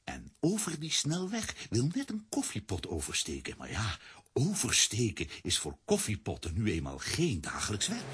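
A man narrating a children's radio play in an expressive storytelling manner. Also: lowish voice, moderate pace, noisy background.

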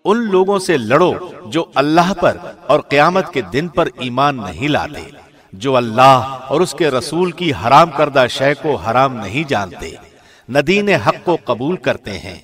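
Expressive male scripture reader, mid-pitched. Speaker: translation reader, radio presenter. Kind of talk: formal scripted reading of scripture translation. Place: studio.

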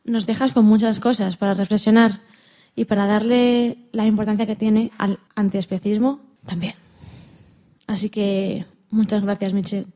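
A woman's voice: mid-range voice, level tone, moderate pace, dark tone. A woman interviewing a guest on a radio programme.

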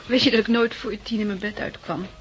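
An actress playing a caring, anxious young farmer's wife in a 1960s Dutch radio drama. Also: female voice, mid-range voice, fairly even intonation, a little fast, highs cut off, natural voice. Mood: sleepy, affectionate. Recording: noisy old radio drama recording.

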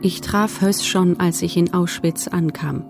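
An actress playing a composed woman prisoner, giving a sober, measured testimony of life in a camp. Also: lowish voice, steady tone, faint music behind. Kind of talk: dramatised testimony reading.

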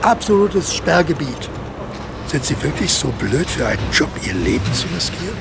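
A man's voice: fairly high pitch, sing-song, moderate pace, vocal fry, background noise. A man playing a cold, commanding army colonel in a radio drama, speaking in curt, authoritative orders. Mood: scornful. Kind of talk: modern German radio drama acting.

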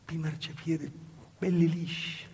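A male stage actor with a Calabrian accent playing a plaintive, naive southern peasant woman recalling a hard childhood in an intimate, halting, repetitive dialect monologue. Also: fairly high pitch, little pitch movement, a little mellow, husky, heavily acted. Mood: wistful wonder.

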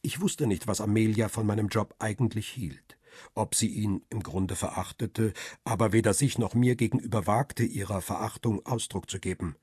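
A middle-aged family man narrates a radio crime drama in a low, very raspy voice. His storytelling is measured and reflective, recorded dry and close. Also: a little mellow, no echo. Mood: quietly reassuring, uneasy. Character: middle-aged male paparazzo, devoted husband and father, reflective. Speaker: narrator and lead actor.

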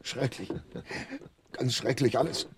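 An actor playing a nervous, reluctant accomplice in a crime radio drama, anxious and unsure of himself. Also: male voice, medium pitch, slow, breathy edge, full character voice. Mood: uneasy, confessional.

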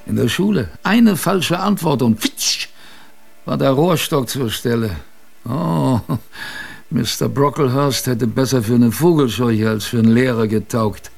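An actor playing a gruff but fond old sailor grandfather, telling his memories in a salty, colloquial radio-play manner. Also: mid-range voice, faint music behind. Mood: wistful reminiscing. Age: older. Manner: colloquial, salty storytelling with musing pauses. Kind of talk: radio drama first-person narration.

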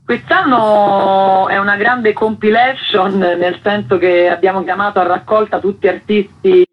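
A female interview guest with a medium-pitched voice, heard through a dull, limited-band connection. She explains in flowing, well-formed sentences. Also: fairly even intonation, measured pace, slightly rough. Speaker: arts festival director, interview guest.

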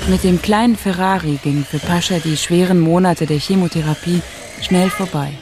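A woman's voice: on the low side, normal speed, over music, natural voice. A woman playing a troubled, caring female narrator in a radio drama. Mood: reflective. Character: troubled, caring woman telling a sick boy's story.

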